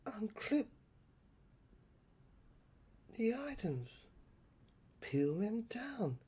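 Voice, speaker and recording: A woman performing a dramatic first-person monologue in halting short phrases with pauses, breathy and husky, sometimes dropping towards a whisper. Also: on the high side, big pitch swings, warm tone.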